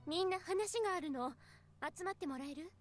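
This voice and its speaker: A kind, earnest schoolteacher in a visual novel game. She is soft-spoken and composed, with a high voice and brisk delivery. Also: lively intonation, over music. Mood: gentle, earnest. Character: gentle, earnest adult female schoolteacher.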